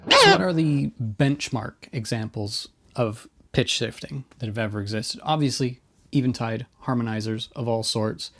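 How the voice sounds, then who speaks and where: A man with a mid-pitched voice hosts a guitar effects video as a casual monologue. He explains things informally, states his own opinions freely and undercuts himself with wry, self-deprecating asides. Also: moderate pace, noisy background.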